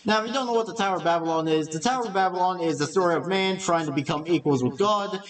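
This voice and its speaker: A man's voice: high voice, quick pace, distant mic. A man reading scripted narration for a video essay.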